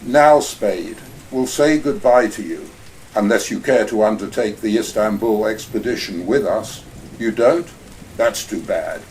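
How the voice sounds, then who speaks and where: A man's voice: fairly low pitch, a little slow, darkish tone, recorded from a distance, a little hiss or hum, natural voice, poor audio. Man playing pompous, suave villain Gutman. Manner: smooth, pompous, chuckling. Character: suave greedy older male villain Gutman. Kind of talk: community radio play acting.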